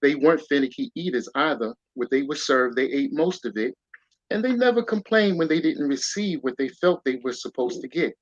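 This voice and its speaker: A man's voice: fairly high pitch, fairly quick, dry recording. A man, a guest author, reading his rhyming children's story aloud with a rhythmic storytelling lilt. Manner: rhythmic storyteller reading verse, lively emphasis. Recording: dull lo-fi sound.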